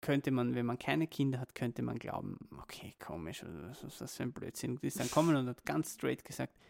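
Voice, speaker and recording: Male co-host, mid pitch, gravelly, reflective and rambling. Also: lively intonation, normal speed, a little mellow. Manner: reflective, rambling, many restarts. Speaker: podcast co-host. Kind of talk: casual parenting chat.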